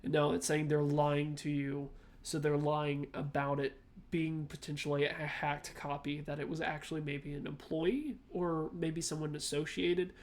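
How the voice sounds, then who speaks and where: A man with a slightly high voice hosts a reaction video. He comments casually and off the cuff, working out his thoughts aloud in short, halting pieces. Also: level tone.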